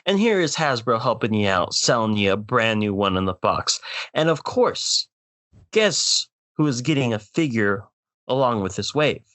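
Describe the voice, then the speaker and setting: A man's voice: mid-range voice, big pitch swings, moderate pace, fairly bright tone, dry recording. A man hosting a podcast, delivering a comedic rant in a mock-dramatic, exasperated way, straight to the listeners. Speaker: podcast host.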